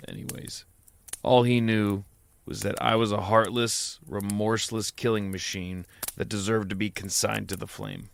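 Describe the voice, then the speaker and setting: An actor playing a reborn former killer, giving testimony in a measured, earnest and formal way in an audio drama. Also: male voice, on the low side, gravelly, a bit bright.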